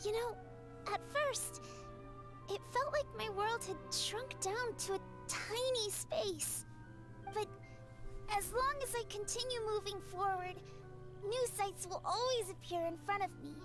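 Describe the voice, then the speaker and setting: A woman's voice: very high-pitched, unhurried. A woman voicing an eager young girl who loves running, talking in an earnest, heartfelt way over game music.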